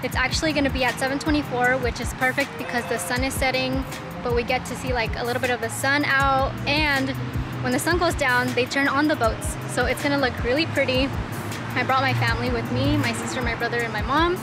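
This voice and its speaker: Young woman, high-pitched, chatty travel vlog, outdoors by a lake, loud music behind. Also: steady tone, brisk. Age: young adult. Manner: chatty, upbeat, laughs often. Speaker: vlogger. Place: outdoors at a park lake, on a pedal boat. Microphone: handheld camera at arm's length. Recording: music playing loudly behind.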